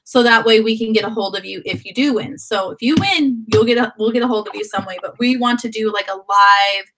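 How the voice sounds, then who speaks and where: A woman's voice: on the high side, brisk, noisy background. A woman hosting a live video chat, with a sore throat from a cold, who rambles and often restarts her sentences. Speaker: host.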